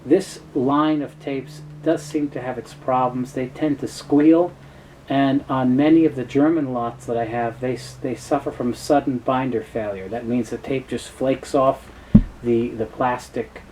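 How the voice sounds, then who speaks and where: A man with a soft, mellow voice and medium pitch presents a product review off the cuff. He pauses often and feels his way through the explanation. A light background noise sits under his voice. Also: steady tone, low-quality recording. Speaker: presenter of a tape review video. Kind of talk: informal product explainer, unscripted.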